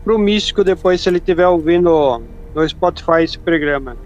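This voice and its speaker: A man's voice: a little high, moderate pace, dull audio, crowd noise. A man co-hosting a podcast, chatting casually and adding side remarks. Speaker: podcast co-host. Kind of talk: casual interview chat.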